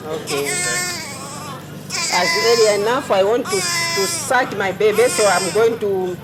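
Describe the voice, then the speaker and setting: A woman health worker talking haltingly through a hands-on demonstration, repeating words as she works. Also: medium pitch, lively intonation, low-quality recording. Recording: loud noise, crying behind the voice.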